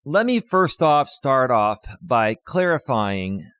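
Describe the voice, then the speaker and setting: Hoarse man hosting a live stream. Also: medium pitch, sing-song, takes it slowly, a bit bright, no room sound, clean studio sound. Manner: rambling, many fillers, talks straight to viewers. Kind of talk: casual live-stream talk.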